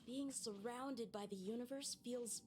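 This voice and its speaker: High-voiced eager young swordswoman, acted by a woman. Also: unhurried, a crowd in the background, natural voice. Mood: eager wonder. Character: bright, eager young female swordswoman seeking opponents. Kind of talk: video game voice acting.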